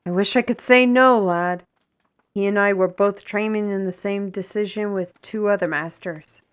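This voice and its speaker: A woman playing a proud, fiery, defiant artisan steward in a fantasy audio roleplay, formal and deliberate. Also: mid-range voice, clear, resonant tone, close to an everyday voice. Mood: rueful.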